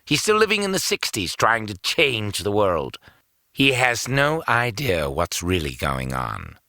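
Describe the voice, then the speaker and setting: A man's voice: on the low side, huge pitch swings, measured pace, sharp tone, dry recording, clean studio sound, heavily acted. An actor playing a sneering, cynical male villain in an audio drama, with a mocking, condescending, theatrical manner. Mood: mocking contempt.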